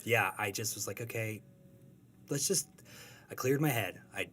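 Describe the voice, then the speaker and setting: A man's voice: on the low side, measured pace, fairly bright tone. A young adult man, a comic strip creator, talking casually in an interview and telling rambling, self-deprecating stories.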